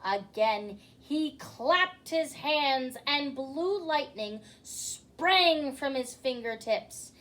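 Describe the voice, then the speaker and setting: Slow, animated woman reading a storybook. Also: sits high. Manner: theatrical, addresses young listeners directly. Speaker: storytime host reading aloud. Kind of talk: expressive children's storybook read-aloud.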